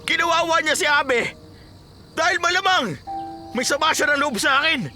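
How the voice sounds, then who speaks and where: A man playing a proud, indignant, middle-aged farmer father who declaims forcefully in a Filipino radio drama. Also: very high-pitched, expressive, normal speed, soft background music, exaggerated voice. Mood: disdainful.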